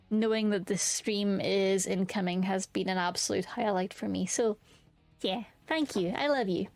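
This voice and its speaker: A young woman talks casually to her stream chat, candid and sweary, trailing off and restarting her sentences mid-thought. Her voice sits at a middling pitch for a woman. It is recorded close and dry on a desk mic, with faint music underneath. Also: no room sound.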